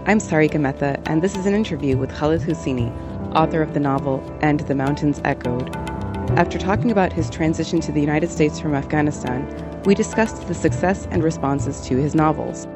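A woman radio host reading a scripted station announcement in a crisp announcer delivery. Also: low-pitched, a little fast. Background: music bed.

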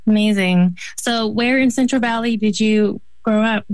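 A woman's voice: mid-range voice, moderate pace, a little mellow, dry recording. A woman hosting a radio show, upbeat and encouraging as she draws out her guests. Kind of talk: hosting a group conversation.

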